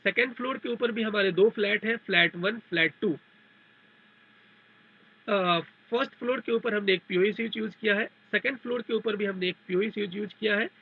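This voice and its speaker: Male technical trainer, high-pitched with a gravelly edge, methodical step-by-step explainer, dull narrow recording. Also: normal speed. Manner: repetitive, step-by-step explaining with English technical terms. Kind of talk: explanatory tutorial over a diagram.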